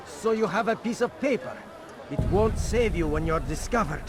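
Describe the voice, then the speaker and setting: An actor playing a blunt, sceptical friend of an assassin in a video game, with a pointed, doubting delivery. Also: male voice, high voice, lively intonation, normal speed, crowd noise, background noise. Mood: doubtful, warning.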